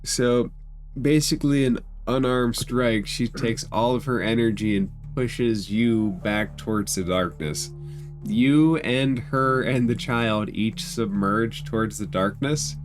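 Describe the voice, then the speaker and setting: A man's voice: medium pitch, slow pace. A man narrating a tabletop fantasy game as game master, improvising descriptions and rulings for the players. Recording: background instrumental music under voice.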